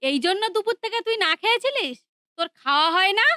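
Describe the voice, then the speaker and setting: A woman's voice: expressive, quick pace, pushed and bright, no echo, studio quality, heavily acted. A woman voicing a harsh, scolding mother and daughter-in-law in a cartoon. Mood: angry. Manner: shrill, scolding.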